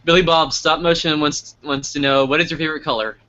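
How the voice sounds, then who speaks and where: A man's voice: on the high side, fairly even intonation, quick pace, bright, forceful tone, dull audio. A man hosting a casual live interview, talking informally as he puts questions and addresses the audience. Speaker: interview host.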